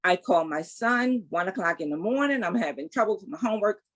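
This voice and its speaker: Woman in her sixties, very animated pitch, emphatic, testimony. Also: a little fast. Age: 60s. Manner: animated, emphatic, faith-filled storytelling. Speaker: guest. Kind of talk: casual interview, testimony.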